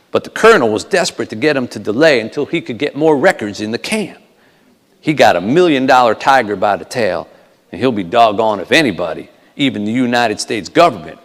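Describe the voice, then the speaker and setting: Man putting on a folksy Southern storyteller, echoey hall. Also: mid-range voice. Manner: drawling, folksy, colloquial. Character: folksy Southern backup singer retelling an old tour story. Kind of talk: first-person storytelling at a funeral. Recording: echoey hall with long reverb.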